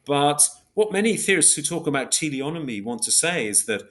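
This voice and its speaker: A man giving an academic explanation in an interview, measured and hesitant, working step by step through examples. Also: fairly high pitch, big pitch swings, a bit bright. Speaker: podcast guest, philosopher of biology.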